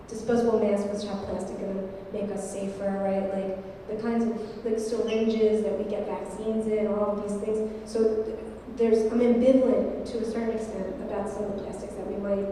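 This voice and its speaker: A woman's voice: medium pitch, warm tone, slight background noise. A woman on a gallery panel, speaking in a thoughtful academic way, hedging often. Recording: echoey hall from far off.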